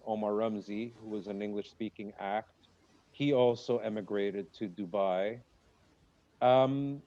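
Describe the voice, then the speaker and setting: A man's voice: mid-range voice, dull audio. A man, a comedian guest, chatting casually on a podcast in a rambling, jokey way. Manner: rambling, jokey, lively asides.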